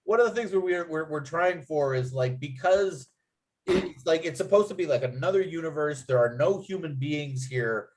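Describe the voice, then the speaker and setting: A man leading a casual feedback discussion, speaking haltingly and thinking aloud as he goes. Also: a little high, darkish tone. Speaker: writer leading a feedback talk after a script read.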